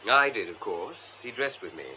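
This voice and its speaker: A man's voice: medium pitch, expressive, moderate pace, close to an everyday voice. Man playing a prim, defensive actor, muffled sound. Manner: fussy, precise, emphatic. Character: prim, defensive male theatre actor, precise and self-justifying. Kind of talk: radio drama acting. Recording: muffled radio broadcast, faint noise.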